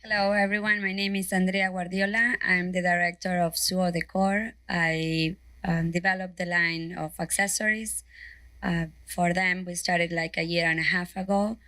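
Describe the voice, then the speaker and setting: A woman from Argentina with an Argentinian Spanish accent, introducing herself as a decor company director on a trade show panel, unhurried and hesitant with fillers. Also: lowish voice, steady tone, sharp tone, no echo. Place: conference panel.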